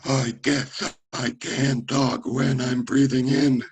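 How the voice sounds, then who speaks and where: A man's voice: medium pitch, little pitch movement, slow pace, slight background noise. A man lecturing a classroom of students, calling on them by name and thinking aloud as he explains. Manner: conversational, thinking aloud with restarts and asides.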